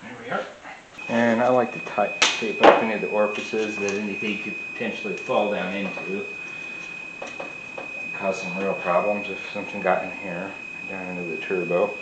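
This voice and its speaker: A man's voice: deep voice, little pitch movement. A man working as a mechanic, talking through an engine job step by step in a garage workshop, with lots of filler "uh"s. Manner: unhurried, many filler "uh"s, explains step by step. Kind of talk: garage how-to narration. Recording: echoey garage with background noise.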